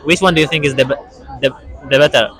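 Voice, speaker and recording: Fast-talking man interviewer. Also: fairly high pitch, a bit bright. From Iran. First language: Persian. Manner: fast, hypey, repeats words when asking. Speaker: street interviewer. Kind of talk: street interview and channel intro.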